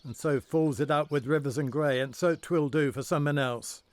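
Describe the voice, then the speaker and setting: An actor playing a proud, overconfident lord in a Shakespearean audio drama, speaking in an emphatic, theatrical way. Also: male voice, a little high, normal speed, dry recording, lightly acted. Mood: cocksure. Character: proud, overconfident English lord, scornful and loyal.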